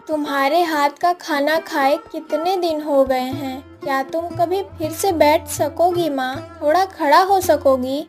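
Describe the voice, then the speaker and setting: High young girl's voice acted by a woman, dutiful poor daughter, earnest pleading, cartoon story, music underneath. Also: darkish tone. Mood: sad, pleading. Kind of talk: acted Hindi moral story cartoon dialogue.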